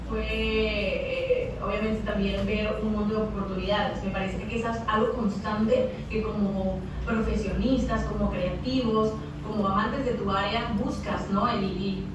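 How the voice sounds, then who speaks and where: A young Mexican woman, a radio announcer, speaking with a Mexican accent. She answers interview questions haltingly, repeating words as she thinks aloud. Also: mid-range voice, fairly even intonation. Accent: Mexican Spanish. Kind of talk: interview over a video call. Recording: echoey, distant, noisy video call audio.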